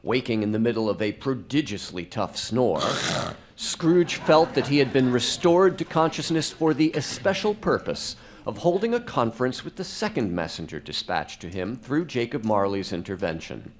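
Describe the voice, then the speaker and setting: Animated male storybook narrator. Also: mid-range voice, normal speed. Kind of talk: dramatic scripted storytelling in live radio drama. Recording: faint music behind.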